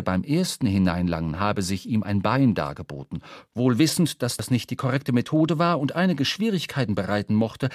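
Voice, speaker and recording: A man narrating a literary radio drama as a storyteller, expressive and dramatic. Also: mid-range voice, no echo, clean studio sound. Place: dry studio.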